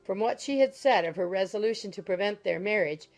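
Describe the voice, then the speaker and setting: Creaky-voiced woman narrating a novel. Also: medium pitch, expressive, a little fast. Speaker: audiobook narrator. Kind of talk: scripted literary narration. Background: soft music.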